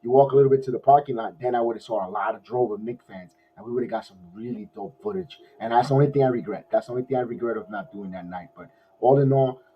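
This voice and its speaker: A man hosting a casual post-game sports podcast, his voice hoarse, rambling with many restarts like an excited fan. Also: mid-range voice, fast talker. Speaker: podcast host. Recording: dull lo-fi audio.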